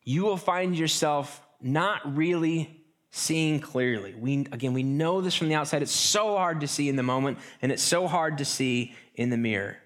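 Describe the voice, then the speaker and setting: A man preaching a sermon in a relaxed, conversational way, often restarting phrases and slipping into quick acted asides. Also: fairly high pitch. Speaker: pastor.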